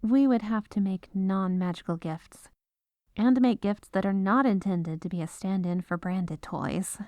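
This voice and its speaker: A woman's voice: mid-range voice, a little slow, mellow, no echo, lightly acted. A woman playing an exasperated, businesslike head lawyer at the North Pole in a scripted comedic audio roleplay. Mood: businesslike, explaining. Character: exasperated, dutiful head legal advisor at Santa's North Pole.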